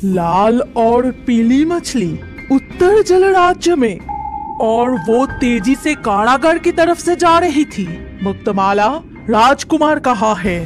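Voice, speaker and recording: Lady doing a strict cartoon sea queen, fairly high, some music behind. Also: animated, slow. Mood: stern. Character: stern, demanding water queen. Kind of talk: cartoon character acting.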